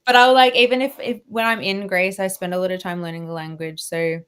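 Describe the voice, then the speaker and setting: A woman's voice: mid-range voice, steady tone, a little fast, a bit bright, smooth. A woman guest on a radio interview, talking in a chatty way with frequent laughs and restarts. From Australia. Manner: chatty, laughs often, many restarts and fillers. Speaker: guest violinist.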